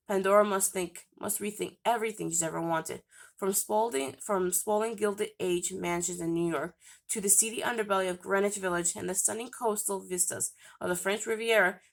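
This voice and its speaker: A woman's voice: medium pitch, creaky. Nasal-voiced woman reading book blurbs. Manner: reads with stumbles and self-corrections. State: nasal from a cold. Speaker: book channel host.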